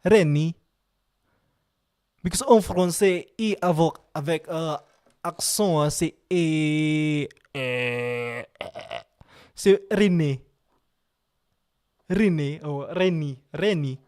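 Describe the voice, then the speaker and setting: A man's voice: on the high side, extremely slow, long pauses, a little mellow, clear, resonant tone. A man with an Italian accent, hosting a casual live stream from his computer, playful and joking and repeating himself. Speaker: livestream host.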